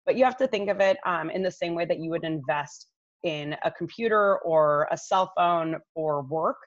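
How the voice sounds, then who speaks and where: A woman's voice: fairly low pitch, normal speed. A woman in her thirties giving informal, explanatory expert advice straight to the listener on a video call from her living room. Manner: conversational, explanatory, addresses listener directly. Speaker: image coach giving expert tips. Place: living room at home, indoors. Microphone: laptop microphone on a video call. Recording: slightly dull, roomy webcam audio.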